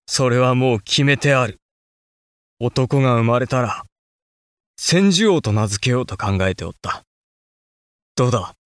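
A man's voice: mid-range voice, animated, measured pace, long pauses, dry recording, clean studio sound, lightly acted. A male actor playing an earnest young samurai lord and new father, speaking formal, archaic Japanese in a historical audio drama. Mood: joyful, tender.

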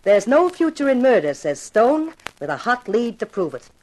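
A woman acting as a pushy, excitable TV producer in a 1950s radio drama, gushing and bossy. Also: fairly high pitch, moderate pace. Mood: gleeful. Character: pushy, excitable female TV producer hungry for publicity.

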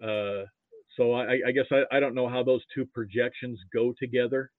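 An American man from Nebraska chatting casually about sports as a guest, haltingly repeating words as he thinks. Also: medium pitch, steady tone, dry recording. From Nebraska, United States. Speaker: podcast guest, sports fan. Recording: muffled phone-like line.

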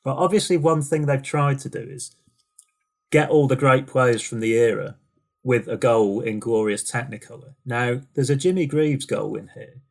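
A man's voice: medium pitch, moderate pace, gravelly. A man in a casual chat, making thoughtful points and laughing between them.

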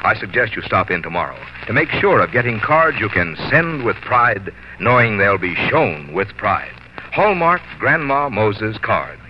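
An American man announcing and reading commercials in 1940s radio style, formal and measured, with deliberate emphasis. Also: lowish voice, very animated, background noise. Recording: dull 1940s radio recording, music behind.

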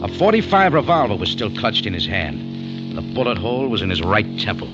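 A dogged American private-eye type from vintage radio drama, clipped, hard-boiled and skeptical. His delivery swings up and down in pitch, on a dull old recording with prominent music behind him. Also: big pitch swings, fairly quick. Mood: terse narrating. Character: dogged, wisecracking male amateur detective and cafe owner. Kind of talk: old-time radio detective drama, first-person narration.

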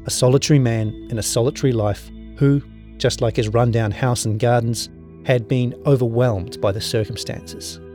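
A man's voice: mid-range voice, steady tone, moderate pace, mellow, soft background music. A man with a New Zealand accent, speaking as a journalist. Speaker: journalist reading his own story.

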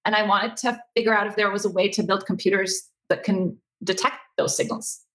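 A woman from Egypt talks as an interview guest. She is expressive and conversational, speaking quickly in a mid-pitched voice. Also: poor audio. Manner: animated, expressive. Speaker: interview guest, tech company co-founder.

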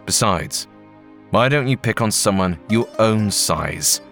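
A man's voice: fairly low pitch, animated, takes it slowly, vocal fry, a bit bright, faint music behind, heavily acted. A man voicing a protective father who firmly stands up for his son. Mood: defiant.

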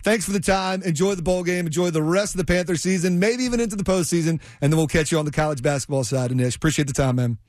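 A man's voice: a little high, fast, few pauses, darkish tone, dry recording, studio quality. A man hosting a sports talk radio show, talking in a conversational, effusive, rambling way. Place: radio studio.

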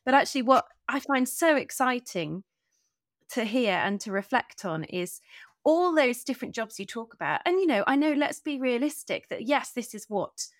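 A woman's voice: fairly high pitch, expressive, moderate pace, dry recording, studio quality. A woman hosting an interview podcast, reflecting conversationally and emphatically, often piling up words in lists.

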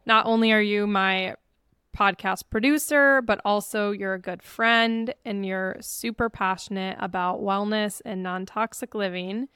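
A woman's voice: mid-range voice, steady tone, a little slow, fairly bright tone, smooth, no echo, clean studio sound. A woman hosting a podcast in a casual, conversational interview style, effusive and encouraging. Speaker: podcast host.

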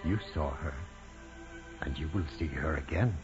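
An actor playing a kindly, fatherly priest, speaking gently and solemnly in a 1940s radio drama. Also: a bass voice, normal speed. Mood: tender, reassuring. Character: kindly fatherly priest comforting a sick nun. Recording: muffled old radio recording, music behind.

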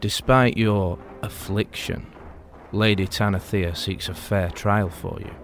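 An actor playing a dutiful guard captain in a fantasy audio drama, firm, formal and authoritative. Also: male voice, on the low side, steady tone, measured pace, faint music behind.

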